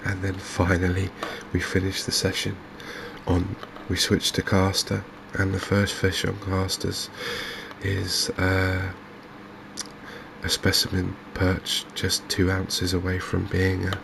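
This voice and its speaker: Slow, low-pitched, softly spoken man with gravelly creak. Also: monotone, background noise. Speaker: gaming channel host. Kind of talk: softly spoken ASMR game commentary.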